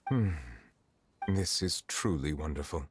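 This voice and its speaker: A low-voiced, stately chief justice in a video game. He is formal, composed and deliberate, his voice trailing a long reverb over music. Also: animated, exaggerated voice. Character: stoic, dignified male chief justice, formal and measured.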